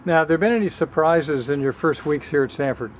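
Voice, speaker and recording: A man interviewing a student, asking measured, polite questions outdoors. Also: a little high, a little hiss or hum, rough recording.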